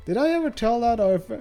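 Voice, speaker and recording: A male streamer from Switzerland chats casually and ramblingly as he plays. His voice is mellow and moves up and down a lot, over faint game music. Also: very high-pitched. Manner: rambling, chatty, jokey swearing. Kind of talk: casual livestream commentary. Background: soft game music.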